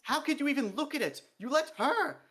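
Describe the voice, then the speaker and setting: A man reading a novel aloud while voicing a fanatical female dwarf official who rants in a high, strained voice. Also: animated, a little fast, fairly bright tone. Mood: ranting. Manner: high, strained, ranting to the point of sobbing.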